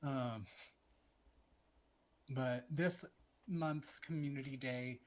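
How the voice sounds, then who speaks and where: A man's voice: mid-range voice, steady tone, very slow. Chatty man in his twenties. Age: 20s. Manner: chatty, laughs easily, trails off with fillers. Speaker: podcast co-host. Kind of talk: casual podcast chat.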